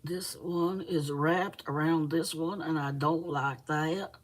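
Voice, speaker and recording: Canadian woman, middle-aged, low voice, chatty and teasing, casual craft livestream host. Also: fairly even intonation, takes it slowly. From Manitoba, Canada. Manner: chatty, laughs often, teasing. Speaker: host of a live crochet stream. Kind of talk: casual chat, reading viewer comments aloud.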